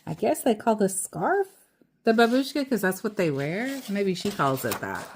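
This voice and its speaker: A woman's voice: mid-range voice, big pitch swings, moderate pace, slight background noise. A woman reading a children's picture book aloud, with simple explanations in casual asides to a young listener.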